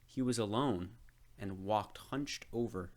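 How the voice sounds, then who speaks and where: A man reading a children's picture book aloud as an expressive storyteller, with measured phrasing and emphasized words. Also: fairly low pitch.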